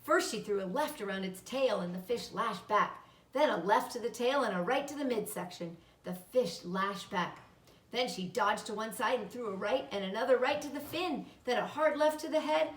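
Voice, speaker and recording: A woman voicing a calm, motherly female spider storyteller who speaks in a measured, encouraging way. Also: a little high, natural voice. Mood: storytelling. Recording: roomy, echoing, distant microphone.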